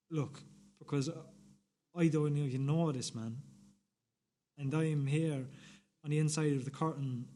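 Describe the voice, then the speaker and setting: An earnest, awestruck man, played by an actor tells his story in a radio play. His voice is soft-toned and slightly high. He goes slowly, repeating himself haltingly as if insisting on every detail. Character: earnest, awed man watching from inside a curtain. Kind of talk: radio play dramatic monologue.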